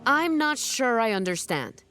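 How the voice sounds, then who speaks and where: Game army officer: blunt, skeptical, businesslike, lively intonation, music behind. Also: female voice, on the high side, unhurried. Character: blunt, skeptical female military field officer. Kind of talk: scripted video game acting.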